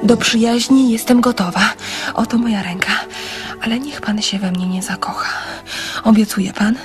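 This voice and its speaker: An actress playing a cautious, coy young woman in a radio drama, speaking with hesitant, softly insistent frankness. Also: fairly high pitch, normal speed, lightly acted. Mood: coy, earnest. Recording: radio play with music underneath.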